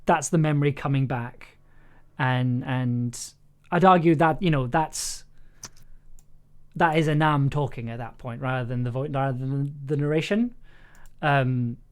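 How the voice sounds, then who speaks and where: A man's voice: medium pitch, measured pace, clear, resonant tone. A man directing an audio drama session, giving casual, encouraging notes and circling back to rephrase himself.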